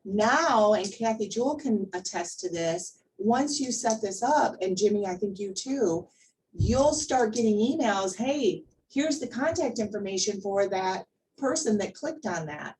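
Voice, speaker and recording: A woman's voice: medium pitch, lively intonation, a little slow, a little mellow. A woman leading an informal workplace class, talking conversationally and emphatically, checking in with the group and repeating words to drive points home. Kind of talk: informal training presentation with questions to the group. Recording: distant mic, faint background noise.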